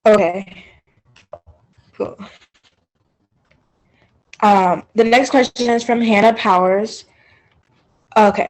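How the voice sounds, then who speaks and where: Woman moderator reading questions, slow and hesitant, um um. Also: medium pitch, fairly even intonation, low-quality recording. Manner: hesitant, many ums.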